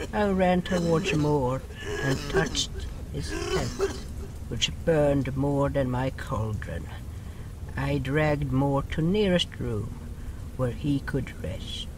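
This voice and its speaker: Man as slow, solemn fantasy storyteller. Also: a little high, noisy background, exaggerated voice. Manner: broken-English phrasing with dropped articles, solemn. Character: earnest storytelling creature, small beside its raging ally. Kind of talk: first-person storytelling in audio drama.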